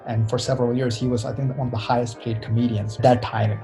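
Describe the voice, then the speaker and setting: Gravelly-voiced young man from Sri Lanka. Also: medium pitch, little pitch movement, quick pace, over music. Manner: reflective, chatty, many fillers. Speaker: interview guest. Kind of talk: casual interview.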